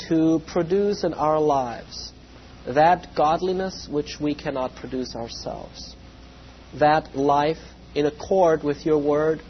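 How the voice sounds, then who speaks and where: A man with a slightly raspy voice giving an evening Bible lesson, measured and full of "uh" fillers, with a reverent tone when he prays. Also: on the high side. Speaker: Bible teacher. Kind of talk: evening Bible lecture with prayer. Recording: dull lo-fi with faint hum.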